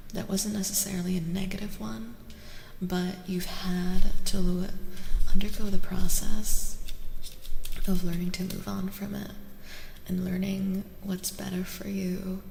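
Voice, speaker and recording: A woman streaming soft-spoken ASMR tarot readings to a live chat, gentle, reassuring and breathy, often slipping into whispers. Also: medium pitch. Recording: roomy reverberant sound with faint noise.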